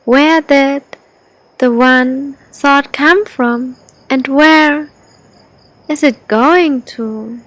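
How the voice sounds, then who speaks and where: A woman leading a guided sleep meditation, speaking in short, deliberate, spaced-out phrases with gentle instruction. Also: high voice, no echo, poor audio.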